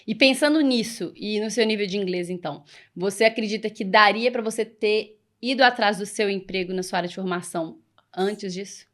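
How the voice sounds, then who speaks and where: A woman's voice: mid-range voice, steady tone, brisk. A woman hosting an interview podcast, encouraging, who frames long questions from her own experience. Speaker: podcast host. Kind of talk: casual interview conversation.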